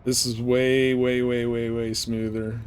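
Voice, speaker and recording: A man's voice: medium pitch, little pitch movement, unhurried. Male game streamer, informal and slangy, casual play-by-play with engine noise behind. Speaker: live streamer playing a space flight game. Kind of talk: casual live gameplay commentary.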